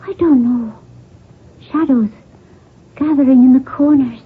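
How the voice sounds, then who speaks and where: An actress playing a fearful, dreamy young bride-to-be, breathless and theatrical, in a radio drama. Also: female voice, sits high, unhurried, breathy edge, narrow, tinny audio, heavily acted. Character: frightened, dreamy young Irish noblewoman, promised bride. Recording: band-limited old radio recording.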